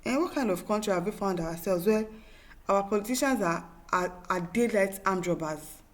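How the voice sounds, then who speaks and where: A woman's voice: medium pitch, animated, moderate pace. A woman with a Nigerian accent hosting an opinionated news commentary, speaking emphatically and in exasperation, with repetition and rhetorical questions. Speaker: talk show host reading viewer comments.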